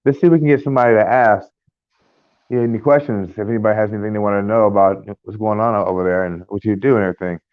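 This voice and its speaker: An American man co-hosting a casual podcast, talking in a rambling, halting way with many fillers and restarts. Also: fairly low pitch, fairly even intonation, dry recording. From United States. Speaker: podcast co-host. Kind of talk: casual chat. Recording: tinny phone-like line.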